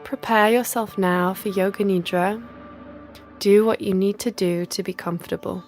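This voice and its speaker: A woman leading a guided yoga nidra relaxation as a teacher, speaking in a soothing, measured, instructional manner with gentle pauses. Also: medium pitch, fairly even intonation, faint music behind.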